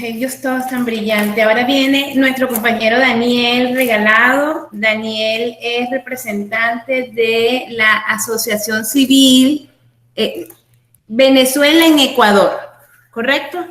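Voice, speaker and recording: Woman moderator, slow and emphatic, echoey room. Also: a little high, steady tone, fairly bright tone. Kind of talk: introducing speakers.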